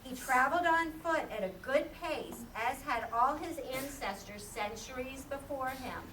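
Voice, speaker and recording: A woman's voice: sits high, normal speed, a bit bright. A woman narrating a scripted story for a children's puppet show. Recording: echoey room, distant mic, background noise.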